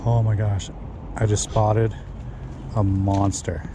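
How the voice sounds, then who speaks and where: A young man narrating his own fishing trip live at a pond, swinging between hushed, tense whispers and loud, excited shouts, recorded outdoors on a body-mounted action camera. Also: lowish voice, takes it slowly, background noise. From Oregon. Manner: hushed and tense while stalking, then yelling with excitement. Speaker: fishing vlogger. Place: outdoors by a pond among bushes. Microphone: head or chest mounted action camera.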